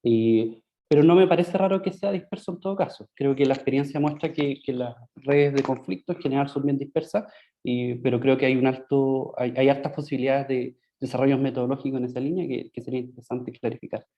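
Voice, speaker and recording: A man's voice: medium pitch, fairly even intonation, fairly quick. A man with a Chilean Spanish accent giving academic questions at an online conference, hesitant, with many fillers and restarts. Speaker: conference discussant. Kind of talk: academic Q&A comments. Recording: dull lo-fi video call audio.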